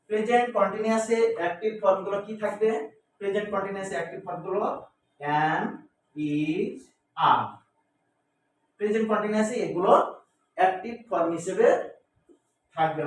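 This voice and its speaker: Man, high-pitched voice, Bengali accent, didactic grammar teacher, repetitive question-and-answer delivery, very echoey room. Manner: didactic, repeats phrases and spells words out, asks and answers own questions. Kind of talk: classroom-style grammar lesson. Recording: echoey room, distant mic, faint noise.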